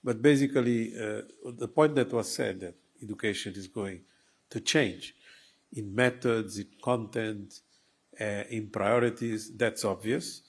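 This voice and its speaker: Male academic panelist, deep husky voice, measured. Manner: measured, brisk. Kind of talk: panel discussion.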